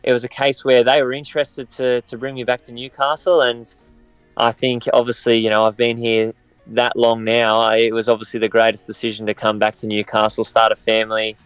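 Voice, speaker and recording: An Australian man in his thirties, a professional footballer answering interview questions in a measured, reflective way. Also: medium pitch, monotone, clear, resonant tone, dry recording, soft background music, rough recording. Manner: measured and reflective, many restarts.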